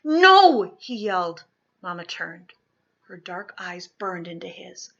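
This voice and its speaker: A woman reading a children's historical story aloud, expressively and dramatically, with emphatic swells and hushed drops. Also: lowish voice, little pitch movement, unhurried, husky, lo-fi sound. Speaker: storybook reader.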